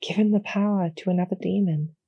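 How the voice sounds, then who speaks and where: A woman playing a calm, courteous female demon general in a roleplay audio, speaking softly with measured, gently formal persuasion and a husky, creaky edge. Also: mid-range voice, no room sound, highs cut off. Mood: calm, persuasive. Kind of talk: slow, soothing scripted roleplay audio for sleep.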